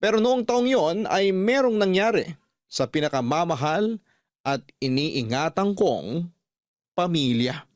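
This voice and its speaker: A Filipino man reading love stories as a radio host, in a calm, measured, sentimental scripted style. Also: a little high, no echo. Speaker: radio show host reading listeners' letters.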